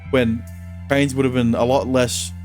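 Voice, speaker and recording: A male streamer who reads visual novel narration aloud in a steady tone and chats casually between lines. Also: medium pitch, a little slow, soft background music.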